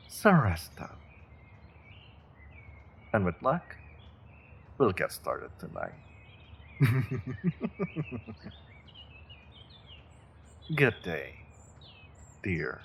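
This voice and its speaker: A man performs a villainous male sorcerer from a fantasy audio drama. The voice is deep and full of gravel, unhurried and slow. He is smug and condescending, feigning kindness while quietly threatening. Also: a little hiss or hum, exaggerated voice. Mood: smug, scheming. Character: menacing evil male sorcerer, smug, mock-kind captor. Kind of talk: fantasy audio roleplay monologue.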